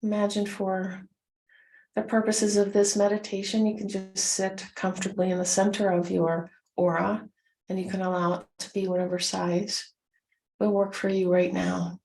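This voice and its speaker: A woman leading a guided meditation, gently instructing in short, soothing phrases with unhurried pauses. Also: medium pitch, flat delivery, vocal fry, poor audio.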